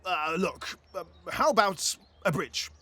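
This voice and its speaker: A man's voice: sits high, very animated, unhurried, sharp tone, husky, no echo, full character voice. A man playing a pompous, blustering Emperor who stammers and babbles nonsense in a satirical audio play. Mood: blustering, muddled.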